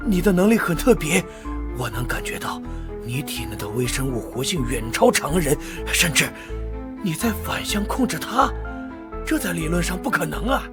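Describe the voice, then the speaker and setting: A man voicing a fanatical captive scientist in an animated drama, explaining and warning in a dramatic way. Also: fairly high pitch, normal speed, music in the background. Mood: urgent. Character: captive male scientist, fanatic about evolving humanity. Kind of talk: animated motion-comic drama dubbing.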